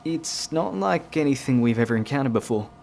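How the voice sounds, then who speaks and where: A man's voice: mid-range voice, animated, brisk, gravelly, a little mellow, slight background noise. An Australian-accented man playing a courteous, grave navy commander in an audio drama, polite and plain-spoken.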